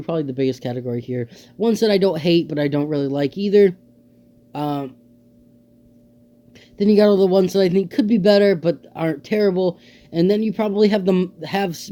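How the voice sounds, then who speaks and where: A young man with a high-pitched but mellow, dark-toned voice talks through a ranking in loose, rambling commentary. His throat sounds a little dry and scratchy, and he fills pauses with ums. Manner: rambling, lots of ums and offhand opinions. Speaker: YouTuber ranking creatures in a tier list. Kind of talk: casual unscripted commentary.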